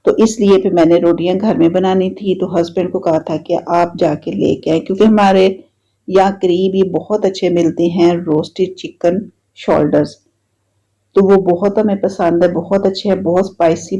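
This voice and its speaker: A woman with a Pakistani Urdu accent talks in an easygoing, rambling way, circling back to the same points as she narrates her home vlog. Her voice is mellow and warm, with a slight roughness from a sore throat. The recording sounds dull and lo-fi. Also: fairly low pitch. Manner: chatty, repetitive, relaxed storytelling. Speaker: vlogger. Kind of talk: casual voice-over narration.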